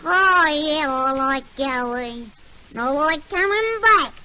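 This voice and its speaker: High, cheeky little girl. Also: animated, slow, sharp tone, full character voice. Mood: sulky, cheeky. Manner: pert, slangy, childlike backchat. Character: cheeky literal-minded little girl, stubborn and mischievous. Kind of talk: old-time radio comedy sketch. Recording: noisy old radio recording.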